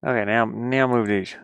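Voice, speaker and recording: A man with a mid-pitched, gravelly voice talks himself through game design work in a casual, tired way. He mutters and grumbles at his software. The headset sound is close and dry. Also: fairly even intonation, measured pace, no echo. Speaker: indie game developer working on camera. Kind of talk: casual thinking-aloud screen-work stream.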